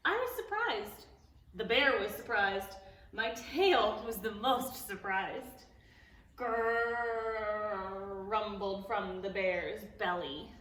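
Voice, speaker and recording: A woman reading a picture book aloud to preschoolers at a library storytime, animated and playful, stopping to ask the children questions. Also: medium pitch, takes it slowly. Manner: playful, gasps and exclaims at the story. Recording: echoey room, voice a bit far from the mic.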